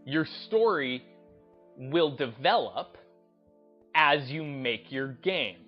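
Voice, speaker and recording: A man giving off-the-cuff opinion commentary on a gaming channel, speaking in halting, emphatic short bursts. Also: medium pitch, lively intonation, dull audio, soft background music.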